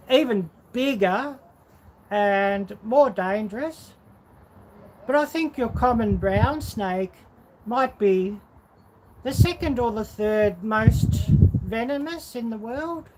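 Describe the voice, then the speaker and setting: A woman's voice: a little high, darkish tone, slight background noise, rough recording. A woman hosting a casual livestream, rambling and musing aloud as she answers viewers. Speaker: livestream host.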